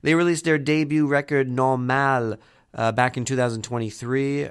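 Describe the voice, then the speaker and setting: A man's voice: mid-range voice, fairly bright tone, clear, resonant tone. A man hosting a community radio music show, talking with a head cold that makes him sound nasal and rambling with many fillers. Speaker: radio host.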